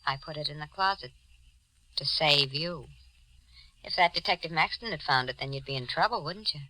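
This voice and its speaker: An actress playing a scheming femme fatale in a 1940s radio noir, composed, coaxing and manipulative. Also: female voice, low voice, normal speed, bright, forceful tone, no room sound, rough recording. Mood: coolly coaxing. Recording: vintage 1940s radio recording.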